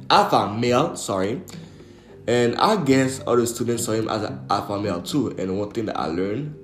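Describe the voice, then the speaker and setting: A young man from Haiti hosting a podcast, telling a story in a loose, casual way with lots of fillers and swearing. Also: mid-range voice. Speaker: podcast host. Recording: echoey room with faint guitar music.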